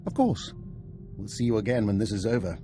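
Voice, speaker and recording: An actor playing a coolly calculating, self-assured doctor in a video game, speaking in precise, formal, detached phrases. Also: male voice, fairly low pitch, brisk, mellow, soft background music, lightly acted. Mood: coolly secretive.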